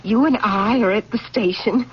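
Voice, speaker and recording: An actress playing an excited, gushing general's wife in an old-time radio drama, dreamy and enthusiastic. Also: female voice, moderate pace, breathy edge, lightly acted. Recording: dull old radio broadcast, faint rumble.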